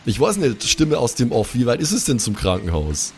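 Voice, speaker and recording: A man's voice: medium pitch, big pitch swings, normal speed. A man playing in an improvised tabletop role-play, casually reasoning with the others in slangy, mildly exasperated banter.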